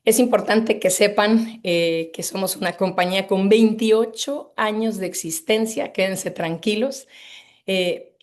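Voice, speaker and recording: A woman opens an online talk over a video call. She gushes and rambles, filling pauses with hesitations as she warms up her audience. Her voice sits in a middle register. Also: mid-range voice. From Mexico City. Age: 50s. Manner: effusive and rambling, with many hesitations. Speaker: webinar host. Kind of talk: online presentation to a live audience.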